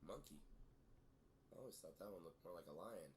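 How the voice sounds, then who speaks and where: A man's voice: low voice, animated, a little fast. An actor playing a cool, skeptical young guy with a dry, laid-back manner in an audio drama. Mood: dry, guarded. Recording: clear background noise.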